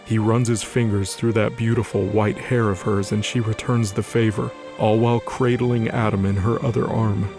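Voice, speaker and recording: Male narrator for audio drama, fairly low voice, dramatic scripted storytelling, faint music underneath. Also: little pitch movement, normal speed, hardly any pauses.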